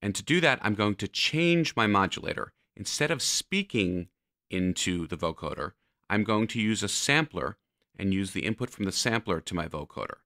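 A man narrating a software tutorial, explaining step by step like an instructor. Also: fairly low pitch, expressive, normal speed, dry recording, studio quality.

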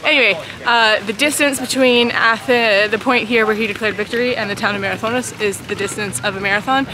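A woman's voice: fairly high pitch, fairly even intonation, fairly quick. A woman in her thirties from the United States, recapping a walking tour in a chatty, self-deprecating way, filming herself at arm's length outdoors. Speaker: travel vlogger. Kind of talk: casual travel vlog narration. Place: outdoors in a city park and plaza. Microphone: camera held at arm's length. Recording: outdoor city noise behind.

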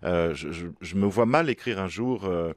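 Male novelist interviewee, deep register, spontaneous and halting with repeated words, very dry recording. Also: lively intonation, dry recording.

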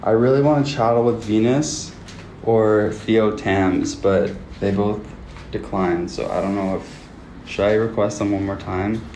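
A man's voice: lowish voice, fairly even intonation, unhurried, darkish tone, slight background noise. A young man from Canada hosting a casual livestream, chatting with viewers into a phone held at arm's length in a small room. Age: young adult. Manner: chatty, self-talking, upbeat with viewers. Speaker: livestream host.

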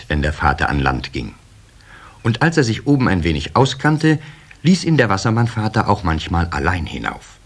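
Low, expressive male storyteller. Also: breathy edge. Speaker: narrator. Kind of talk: scripted storytelling for a children's radio play. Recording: slightly hissy old cassette recording.

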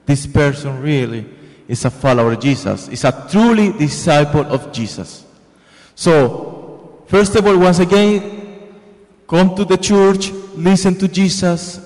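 A young Colombian priest preaches in accented English, earnest and repetitive, into a handheld mic. His voice sits somewhat high. A long church reverb trails behind every phrase. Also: a little slow, darkish tone. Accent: Colombian Spanish. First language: Spanish. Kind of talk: homily. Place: large church sanctuary. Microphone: handheld microphone.

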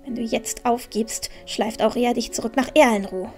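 A proud, headstrong young heroine of a fantasy audio play, acted by a woman. Her voice is high and she talks to herself with dramatic force. Faint music plays behind her. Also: fairly even intonation, normal speed, dark tone, lightly acted. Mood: stern, determined. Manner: self-berating, dramatic, talking to herself. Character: proud, stubborn young heroine scolding herself, then alarmed. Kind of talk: fan-made fantasy audio drama acting.

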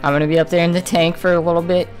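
A man's voice: fairly high pitch, level tone, rapid-fire, soft background music, close to an everyday voice. A man voicing a villainous kraken father who commands minions and guards his baby, in improvised Minecraft roleplay. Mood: commanding, protective.

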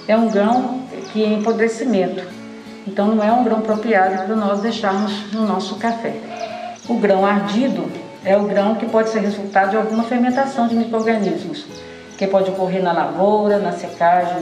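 A middle-aged woman giving an informal hands-on demonstration in a bright indoor room, talking straight to viewers. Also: medium pitch. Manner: informal, addresses viewers directly with fillers. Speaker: coffee processing instructor. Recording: roomy, from a distance, music under.

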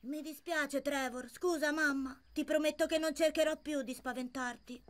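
A woman's voice: high voice, steady tone, normal speed, close to an everyday voice. A woman voicing a cheeky, scheming young boy in a children's cartoon dub. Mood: sheepish.

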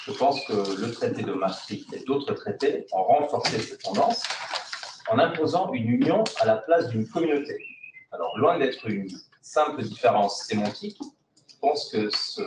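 A man in his forties, a native German speaker and professor of political science, giving a measured, hesitant talk at a round table. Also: mid-range voice. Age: 40s. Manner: measured, hesitant with frequent "euh". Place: meeting room with tables in a U shape. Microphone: far room camera. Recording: echoey room, distant mic, noisy.

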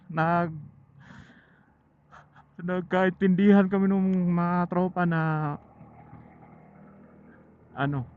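A fairly high-voiced Filipino man vlogs from his motorcycle, thinking aloud in loose, chatty bursts. His helmet intercom gives a thin, phone-like sound, with faint vehicle noise around it. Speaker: motorcycle vlogger. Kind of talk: casual vlog chat to viewers while riding. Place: outdoors riding a motorcycle on mountain roads. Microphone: helmet intercom microphone.

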